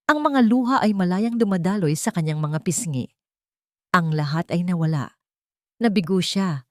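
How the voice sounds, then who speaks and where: Woman, Filipino accent, dramatic audio story narration, lowish voice, dry close sound. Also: darkish tone, no echo. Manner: dramatic storytelling, voicing characters' lines.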